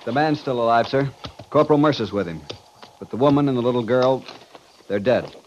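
An actor playing a sober junior cavalry officer who reports tersely and formally in a 1950s radio western. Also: male voice, medium pitch, a little fast, natural voice. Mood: grim. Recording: muffled, noisy old radio recording.

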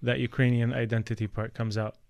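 Gravelly podcast host asking long questions. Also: male voice, medium pitch, flat delivery, a little fast, darkish tone, no echo. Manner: asks long, rambling questions. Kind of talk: casual interview.

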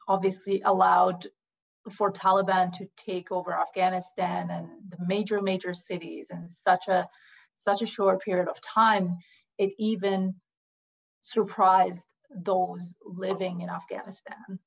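A woman from Iran answers interview questions slowly, often pausing and restarting her sentences. Her voice is husky with a gravelly edge. The audio sounds dull and lo-fi. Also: mid-range voice. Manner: hesitant, many fillers and restarts. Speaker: interview guest. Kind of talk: conversational interview.

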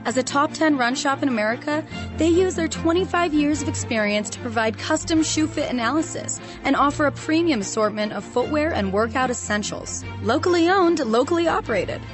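Female commercial announcer, high voice, scripted ad read, clear music bed. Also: expressive, a little fast. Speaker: advertisement announcer.

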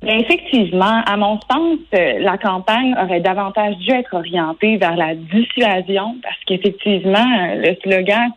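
A woman in her twenties with a Québécois French accent, a lawyer answering interview questions earnestly, often pausing with fillers and restarting phrases. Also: mid-range voice, a little hiss or hum, poor audio. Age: 20s. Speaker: lawyer guest.

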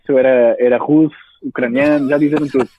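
A man's voice: medium pitch, steady tone, quick pace. Spanish-accented young man on a tinny line. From Spain. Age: 20s. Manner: hesitant, many restarts. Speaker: guest entrepreneur.

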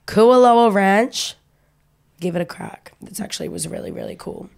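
A woman's voice: mid-range voice, normal speed, vocal fry, darkish tone. A woman co-hosting a podcast, chatty and a little sweary, sounding nasal from a cold. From Australia. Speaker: podcast co-host. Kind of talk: casual chat.

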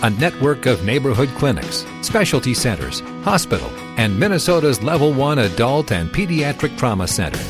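A man's voice: medium pitch, very animated, moderate pace, hardly any pauses. A man reading a scripted show introduction and disclaimer as announcer, with polished delivery. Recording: announcement over guitar music.

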